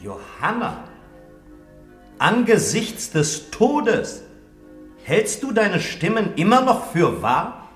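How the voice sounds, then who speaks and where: An actor playing a priest who gently urges a condemned prisoner in a classic radio play. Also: male voice, sits high, huge pitch swings, takes it slowly, long pauses, reverberant, soft background music, heavily acted. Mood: pleading. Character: male priest sent to prepare a prisoner for death, pleading.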